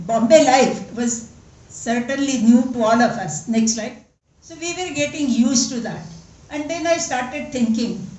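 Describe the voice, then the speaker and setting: A woman's voice: on the high side, darkish tone. A woman in her seventies from Western Maharashtra, Marathi-accented, giving an earnest, emphatic conference speech as a senior doctor. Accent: Indian English, Marathi. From Western Maharashtra, India. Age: 70s. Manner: earnest, emphatic, repeats for stress. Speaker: guest speaker, senior doctor. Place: large conference hall. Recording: echoey hall, voice from far off.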